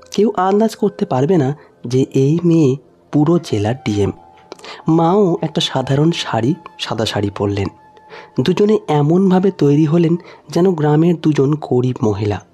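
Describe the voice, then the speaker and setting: Dramatic male storyteller, lively and bright. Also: a little high, husky. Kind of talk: dramatic scripted story narration.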